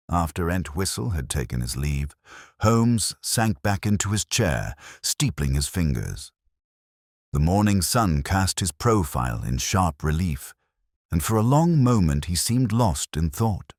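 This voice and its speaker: Extremely deep-voiced male story narrator. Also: sing-song, dry recording, studio quality. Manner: measured, literary, solemn. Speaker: audiobook narrator. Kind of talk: scripted dramatic story narration.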